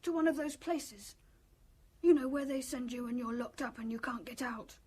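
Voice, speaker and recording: An actor playing an excitable, childlike young man with a naive, eager, morbid fascination, in a radio drama. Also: sits high, moderate pace, breathy edge. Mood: defiant, gleeful. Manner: eager, naive, giggly, repeats questions back.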